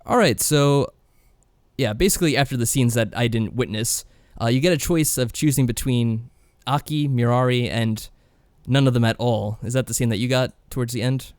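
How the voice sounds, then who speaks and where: A man co-hosting a podcast, casually discussing games in a matter-of-fact, low-key way. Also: normal speed, a little mellow, dry recording, clean studio sound. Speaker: podcast co-host.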